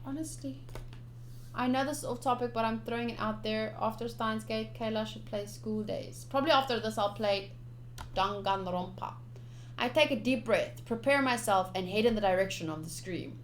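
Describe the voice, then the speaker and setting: A woman's voice: on the high side, moderate pace, slight background noise. A young woman doing playthrough commentary, reading game text aloud expressively with chatty asides. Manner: expressive, chatty asides between read narration. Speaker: game streamer.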